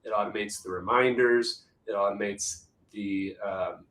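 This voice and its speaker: Male webinar presenter, hoarse, chatty and conversational, echoey room. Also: lowish voice, steady tone, measured pace, fairly bright tone. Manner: chatty, conversational, many restarts. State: hoarse, losing his voice. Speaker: webinar presenter, executive assistant.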